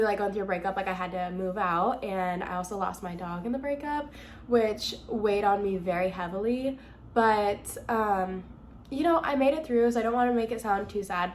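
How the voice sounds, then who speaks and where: A young American woman talks casually to her viewers as a vlogger. Her mid-pitched voice is nasal and a little congested from being sick. She is candid and chatty, leaning on "like" as she goes. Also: slight background noise. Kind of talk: casual chat to camera.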